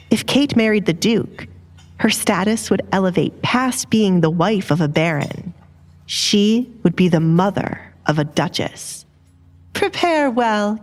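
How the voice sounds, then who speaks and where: Woman narrating a story over music. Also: lowish voice. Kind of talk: scripted audio drama narration. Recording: music under the voice. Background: background music.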